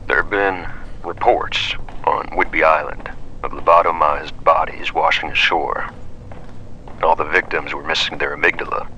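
A man playing a hard-bitten, world-weary investigator in an analog horror drama, gruff and terse. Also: rumbling low, steady tone, moderate pace, pushed and bright, a little hiss or hum, full character voice, low-quality recording. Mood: brooding, wary.

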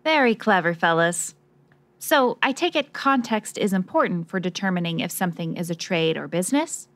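A woman's voice: on the low side, animated, moderate pace, a bit bright, no room sound. Noir radio drama: sassy female reporter, wry, punchy, slangy, close dry sound woman acting.

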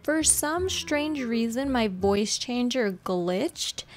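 A woman's voice: fairly high pitch, lively intonation, slow pace. A young adult woman who makes gaming videos, giving a casual intro to her viewers. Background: music.

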